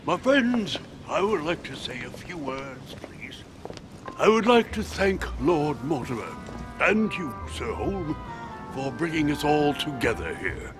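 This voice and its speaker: A man's voice: fairly high pitch, big pitch swings, a little slow. An actor playing a genial, dignified American statesman, speaking in a gracious, formal, oratorical manner for a video game. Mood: gracious, jovial. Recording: music behind the voice.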